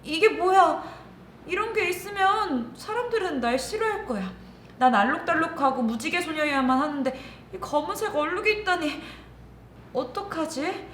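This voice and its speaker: A woman's voice: very high voice, expressive, normal speed, fairly bright tone, a little hiss or hum, voice pushed up. A woman voicing a sweet, vain yet anxious little girl in a children's story, exclaiming and talking to herself in a sing-song way. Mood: anxious, startled. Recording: echoey room with music behind.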